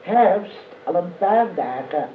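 A woman's voice: lowish voice, expressive, slow. Dry, motherly housekeeper on muffled old radio. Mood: matter-of-fact. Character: stout, matter-of-fact, motherly female housekeeper, gently scolding. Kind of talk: Dutch radio play acting. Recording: narrow, hissy old radio recording.

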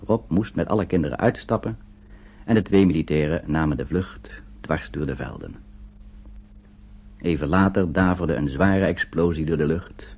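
An actor narrating a radio play as a grave storyteller, in a measured, suspenseful, scripted style. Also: male voice, extremely low pitch, husky, heavily acted. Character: grave storyteller recounting a wartime flight. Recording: dull old radio recording.

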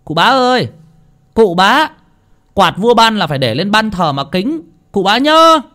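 A man's voice: medium pitch, very animated, moderate pace. Man voicing Bờm, a cheeky young peasant lad. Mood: mocking. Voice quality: bright, teasing and sly.

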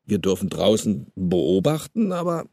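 An actor in a German radio drama playing an oily, chummy, quietly menacing East German state security officer, insinuating and bureaucratic. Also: male voice, lowish voice, very animated, measured pace, creaky, no room sound, exaggerated voice. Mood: officious, insinuating. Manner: insinuating, bureaucratic, with knowing chuckles.

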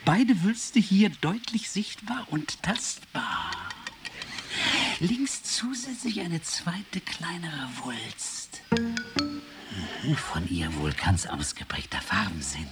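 A woman's voice: sits low, big pitch swings, slow, loud music behind the voice, full character voice. A woman playing an exacting anatomist in a radio drama, dictating findings in clipped, declamatory phrases that drop into whispers. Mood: clinical, intent.

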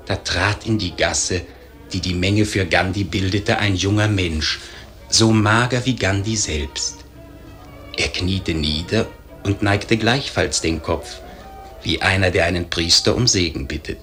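A man playing a grave storyteller, narrating a literary radio play in measured, formal diction. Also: low-pitched, a bit bright, faint music behind. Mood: solemn, measured. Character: grave male storyteller narrating a historic tragedy.

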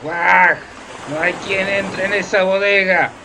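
An actor in a radio drama playing a rough, alarmed sailor who reports urgently to the captain. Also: mid-range voice, steady tone, slow pace, background noise. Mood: disgusted, reporting. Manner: urgent, calling out. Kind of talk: community radio drama acting.

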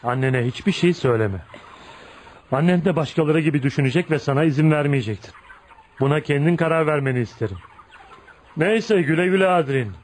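A man's voice: fairly high pitch, fairly quick, close to an everyday voice. An actor playing a feared, ugly but kind-hearted tough man, blunt and probing, in a Turkish radio play. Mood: earnest, friendly. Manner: blunt, probing, folksy, punctuated with "ha?". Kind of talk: Turkish radio theater acting.

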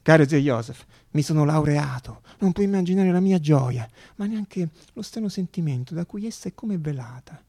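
An earnest young dreamer in a radio play. The voice sits fairly high for a man, with a husky, gravelly edge. The delivery is intense and halting, full of repeated words. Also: expressive, mellow, dry recording, clean studio sound. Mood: joyful, awed. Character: earnest young male student inventor, idealistic, anxious, excitable. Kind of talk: theatrical radio monologue.

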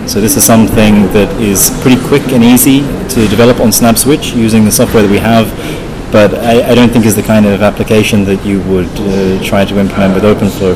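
Low-voiced man explaining tech amid crowd chatter. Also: rough recording. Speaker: guest software developer being interviewed. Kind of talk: technical interview explanation. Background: busy conference crowd.

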